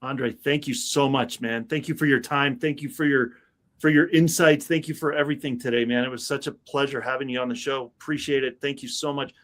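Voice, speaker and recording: A man's voice: medium pitch, fairly even intonation, brisk, a bit bright. A man hosting an interview podcast, upbeat and chummy, often calling his guest "man.". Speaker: podcast host.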